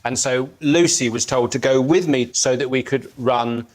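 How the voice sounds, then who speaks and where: A man with a mid-pitched voice tells a comic anecdote as a panel show guest. His storytelling is halting, with stumbles as he sets out each step. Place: TV studio with audience.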